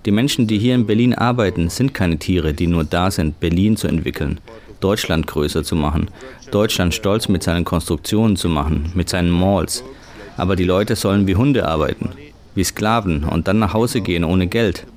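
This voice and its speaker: A man reading a German voice-over translation of an interview in a steady, measured way. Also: deep voice.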